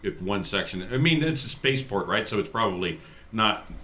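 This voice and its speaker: A man's voice: on the low side, lively intonation, brisk, pushed and bright, a little hiss or hum. A man in casual table chat, speaking as a player in a tabletop roleplaying game.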